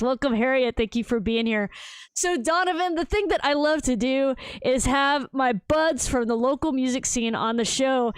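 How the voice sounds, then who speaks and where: A woman hosting a casual interview over a video call. She talks in a bubbly, excited way, laughs often and says "dude" and "like" a lot. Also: sits high, smooth, dry recording. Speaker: show host.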